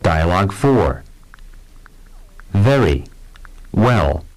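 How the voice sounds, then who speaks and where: A man's voice: low voice, sing-song, darkish tone, low-quality recording. A man reading instructions and example words for a language course. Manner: slow, measured announcing. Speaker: course narrator.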